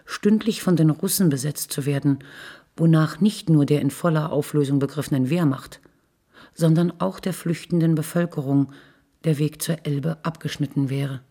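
Raspy-edged woman narrating literature. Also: low-pitched, normal speed, mellow. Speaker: narrator in a radio play.

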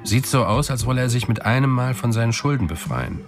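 An actor playing a sly, knowing underworld informant with a florid, jovially sinister manner in a German radio drama. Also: male voice, mid-range voice, lively intonation, brisk, natural voice. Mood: conspiratorial. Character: sly, philosophising male underworld informant and false friend. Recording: music behind the voice. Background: music.

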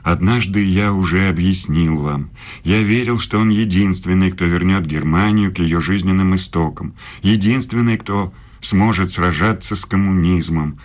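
An actor in a radio play voicing a proud, aggrieved older German philosopher, formal, measured and self-justifying. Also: male voice, low-pitched, natural voice. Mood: guarded, defensive. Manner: measured, formal, deliberate speech.

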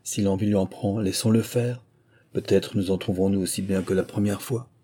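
A man's voice: low-pitched, fairly even intonation, a little fast, mellow. A man voicing a firm, assertive miller's wife in a storybook tale. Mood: calm, willing. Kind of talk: storybook character voice.

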